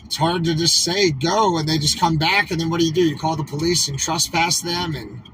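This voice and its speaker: A man's voice: fairly high pitch, fairly even intonation, fairly quick, fairly bright tone. A man livestreaming from a moving vehicle in casual talk, rambling and emphatic with lots of "like" fillers. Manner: rambling, emphatic, heavy use of "like". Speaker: convoy livestreamer. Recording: distant mic, clear road noise.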